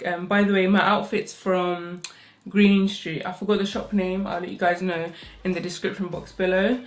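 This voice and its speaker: A young woman, doing a rushed get-ready-with-me makeup tutorial at home on her own mic. She talks fast and chatty, and her voice still sounds sleepy. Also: on the low side, flat delivery. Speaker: makeup vlogger. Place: home, indoors. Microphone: own microphone.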